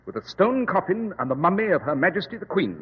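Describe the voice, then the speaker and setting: Male detective: fast, authoritative, cool logic, lively inflection; old radio drama. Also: high voice, phone-quality sound. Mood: decisive. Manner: brisk, precise, authoritative. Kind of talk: 1940s radio drama acting. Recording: echoey, hissy old radio broadcast.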